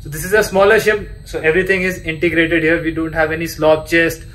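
A man's voice: a little high, level tone, brisk, a bit bright. A man, chatting casually and eagerly as he films a tour of a cargo ship at arm's length. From India. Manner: chatty, enthusiastic, restarts and fillers. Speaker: seafarer vlogger giving a ship tour. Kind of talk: casual vlog tour talk. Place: aboard a cargo ship, outdoor decks and indoor day room. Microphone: handheld camera at arm's length.

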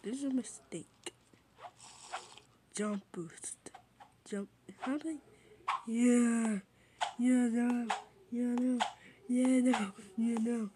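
A woman's voice: a little high, fairly even intonation, drawn-out words, pauses often. A woman improvising an amateur fan dub of a cartoon, repeating short exclamations over and over. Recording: noisy amateur recording with clicks.

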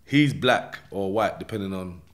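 A man's voice: deep voice, moderate pace. A man with a London accent tells his own story casually in streetwise slang, often checking in with short tags like "yeah?". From London, England. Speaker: podcast guest telling his life story. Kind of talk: casual first-person storytelling.

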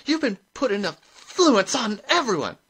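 A narrator voicing a haughty, brittle female witch with a shrill, indignant manner. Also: wildly swooping pitch, brisk, slightly rough, full character voice. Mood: shrieking rage. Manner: forced brightness, indignant.

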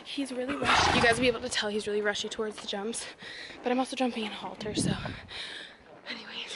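A woman's voice: a little high, background noise. A teenage girl chatting casually to a handheld phone camera at a barn, full of fillers and often dropping to a whisper. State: sweaty and out of breath after riding. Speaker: vlogger. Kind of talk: casual vlog chat. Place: indoor riding arena and barn. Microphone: phone camera at arm's length.

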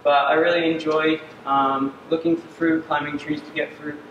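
A young man from Uganda giving a senior capstone presentation on a dark stage, earnest and often pausing with ums. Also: on the high side, flat delivery, muffled recording. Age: young adult. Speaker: student presenter. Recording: distant echoey hall with ambient noise.

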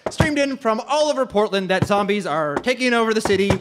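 A man's voice: high-pitched, animated, a little fast. A man playing a flustered, exasperated radio show host who stammers frantically in a live radio drama. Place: live stage in a lecture hall. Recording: noisy live hall stage recording.

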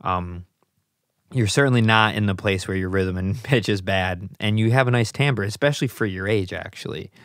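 A man in his thirties with a very low voice hosts a podcast, chatting and teasing his guest. He speaks into a desk mic in a small studio. The sound is close and dry. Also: moderate pace, fairly bright tone, dry recording. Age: 30s. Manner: chatty, teasing, laughs easily. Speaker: podcast host. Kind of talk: casual podcast interview. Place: small studio with colored lights and a table. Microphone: studio mic on a desk stand, headphones.

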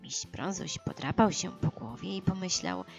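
A woman reads a children's story in a lowish, very animated voice. She takes her time over every sentence. Music plays faintly behind her. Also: vocal fry. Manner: expressive, unhurried, dramatized for children. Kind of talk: scripted children's audiobook narration.

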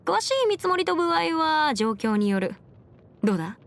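A woman's voice: sits high, sing-song, normal speed, vocal fry, exaggerated voice. A woman voicing a confident, bookish young female legal expert in a video game, speaking in a self-assured, formal, lecturing way. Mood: businesslike, proud. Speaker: video game character voice actor. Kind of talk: scripted anime-style game character monologue.

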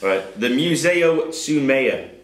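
A man reading out quiz questions in a measured way, stumbling over hard names, recorded by a camera across the room. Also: mid-range voice, lively intonation. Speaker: quizmaster. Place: pub function room. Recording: echoey room.

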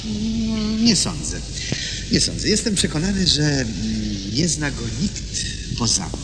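An actor in a radio play playing a mysterious, smugly polite man who hints at dark things, with hesitant pauses and insinuating courtesy. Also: on the high side, big pitch swings, noisy background. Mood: sly, musing. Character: mysterious, smugly polite male stranger hinting at murder.

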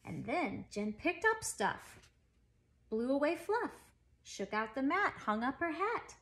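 Woman, forties, fairly high voice, animated storytime read-aloud, emphatic phrasing for kids. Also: slow. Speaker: storyteller reading a picture book to children. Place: living room at home.

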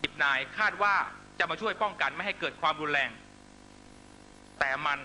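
A middle-aged man giving an impassioned political rally speech in emphatic, short measured phrases into a stage microphone. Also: on the high side, bright, forceful tone. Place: large night-time outdoor rally stage. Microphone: stand microphone on stage. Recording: noisy live rally public-address broadcast with hum.

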